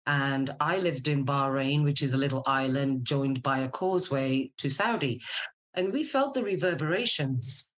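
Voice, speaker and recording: A woman's voice: a bass voice, normal speed, fairly bright tone. A middle-aged woman, chatting candidly and informally as a guest in an interview, telling personal stories. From Scotland. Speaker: podcast guest, military spouse and business owner.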